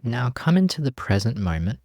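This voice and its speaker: A man leading a guided meditation as a teacher, speaking gently and leaving long pauses between phrases. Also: mid-range voice, very animated, dry recording, clean studio sound.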